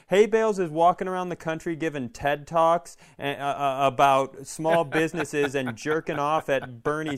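A man's voice: a little high, clear, resonant tone. A man co-hosting a comedy podcast, talking in casual banter and profane, exasperated rants. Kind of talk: casual comic banter and ranting.

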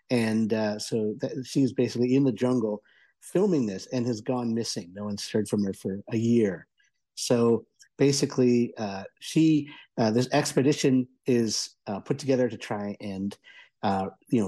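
A man giving a conversational review as a radio columnist, explaining things eagerly in a halting way. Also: medium pitch, dark tone. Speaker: audio entertainment columnist and reviewer.

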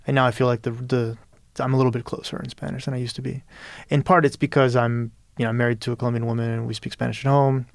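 A man's voice: medium pitch, flat delivery, fast talker, gravelly, hardly any pauses, no echo. A man from Peru talking as a writer in an interview, thoughtful and searching, often restarting and adding "you know". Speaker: guest writer. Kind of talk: reflective interview conversation.

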